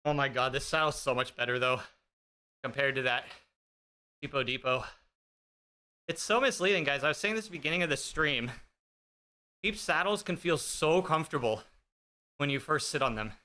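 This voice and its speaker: Thirties male streamer, a bit high and bright, joking casually with chat over a desk mic. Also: normal speed, pauses often. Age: 30s. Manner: joking, self-deprecating, chatty; talks while riding an indoor bike. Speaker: fitness streamer. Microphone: streaming desk mic with noise gate.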